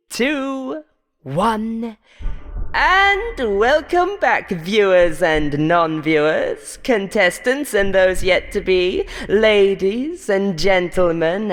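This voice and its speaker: A woman's voice: mid-range voice, long reverb tail, voice pushed up. A woman voicing a cruel, haughty game show host in a horror story, switching between theatrical announcer tones and contempt. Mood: showy announcer.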